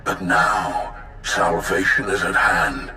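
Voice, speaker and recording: Casting: imprisoned ancient Sith spirit, very low and measured, imperious, bitter, grandiose game performance. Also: male voice, a little hiss or hum, heavily acted. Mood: bitter, pleading. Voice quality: deep, forceful. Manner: grandiose, slow, deliberate. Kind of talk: dramatic video game acting. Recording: echoing, with music underneath.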